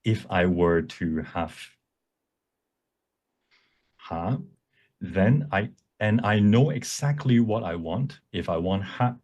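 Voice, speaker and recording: Low-pitched man presenting a software demo. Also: animated, slow pace, pauses often, lo-fi sound. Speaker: workshop presenter. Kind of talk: live software demonstration talk. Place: online presentation at a computer.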